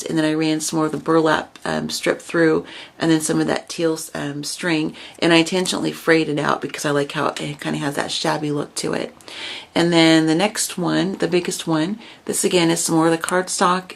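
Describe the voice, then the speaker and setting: A woman's voice: low voice, vocal fry. A woman with a crackly voice from a starting cold, chatting casually with many ums while showing off a craft project. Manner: chatty, many ums, points out details step by step. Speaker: craft video host. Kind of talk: casual show-and-tell craft video.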